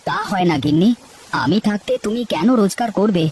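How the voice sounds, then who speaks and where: A woman's voice: mid-range voice, brisk, a little mellow, a little hiss or hum, natural voice. A woman playing a kind middle-aged village hotel keeper. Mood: gentle. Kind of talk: cartoon voice acting.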